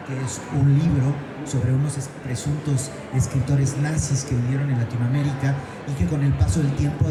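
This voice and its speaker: A man from Oaxaca with a Mexican accent, talking as a literary panelist in an enthusiastic, digressive, conversational way. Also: medium pitch. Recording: echoey venue with music behind.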